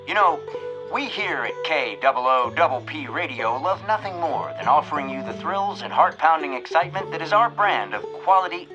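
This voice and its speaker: An actor playing a folksy, grandiose old-time radio announcer with theatrical delivery. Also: male voice, on the high side, poor audio.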